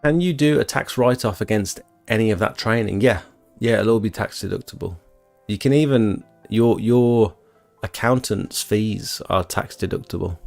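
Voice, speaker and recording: A man's voice: fairly low pitch, normal speed, gravelly, a little mellow, faint music behind. A British man in his thirties chatting informally and rambling as he hosts a casual live stream, reading out comments and answering them. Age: 30s. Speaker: livestream host, exterior cleaning tradesman. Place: small home bedroom doubling as an office.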